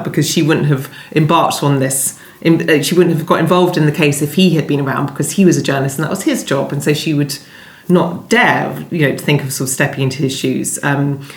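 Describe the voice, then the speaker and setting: A woman giving conversational interview answers as a novelist guest, hesitant and often correcting herself. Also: low-pitched. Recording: roomy, echoey sound.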